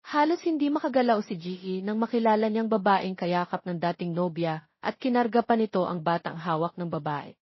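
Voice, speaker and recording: A woman's voice: medium pitch, lively intonation, normal speed, dry recording. A woman narrating a dramatic story from a script as a voice-over. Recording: dull lo-fi audio, very dry.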